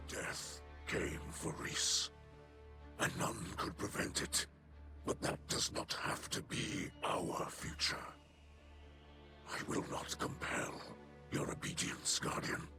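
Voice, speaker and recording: Whispering ancient alien lord. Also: male voice, medium pitch. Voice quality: whispery, hushed and solemn. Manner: slow, formal, beseeching. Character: ancient male Eliksni kell, grave and pleading. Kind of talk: video game cutscene voice acting. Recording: game soundtrack behind voice.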